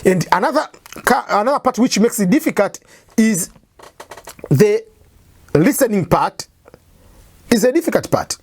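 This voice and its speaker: A woman's voice: mid-range voice, expressive, measured pace, long pauses, darkish tone, breathy edge. East African woman teaching English prep. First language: Swahili. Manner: repetitive, explains by restating points with examples. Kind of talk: informal lecture.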